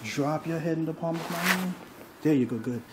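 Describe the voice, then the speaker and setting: A man's voice: a little high, expressive, brisk. Reassuring man instructing, noisy room. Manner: reassuring, coaxing. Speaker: chiropractor. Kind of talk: casual instructions to a patient during treatment. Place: treatment studio with hard floor, indoors.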